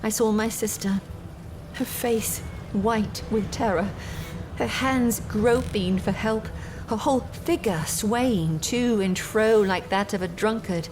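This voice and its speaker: An actress playing a troubled young gentlewoman in an audio drama, telling her story in a measured, formal, earnest way. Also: medium pitch, background noise, lightly acted. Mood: anguished, dramatic.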